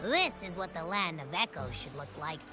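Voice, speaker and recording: A woman voicing a cheeky, hungry little companion creature in a video game, blunt and expressive in manner. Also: fairly low pitch, normal speed, lightly acted. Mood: impressed, cheeky. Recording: game dialogue over clear background music.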